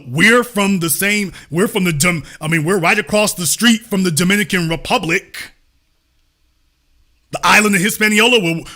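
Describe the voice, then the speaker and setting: A man with a high, bright, cutting voice holds forth as a commentary host. He lectures with heavy emphasis and hammers the same point again and again. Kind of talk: reacting to an interview clip.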